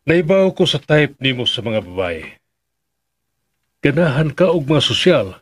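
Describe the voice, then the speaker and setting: Worried father, husky and swooping. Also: mid-range voice, big pitch swings, unhurried. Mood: worried, hesitant. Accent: Filipino. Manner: hesitant, questioning, concerned. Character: worried, probing father questioning his grown son. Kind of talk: Filipino radio drama acting.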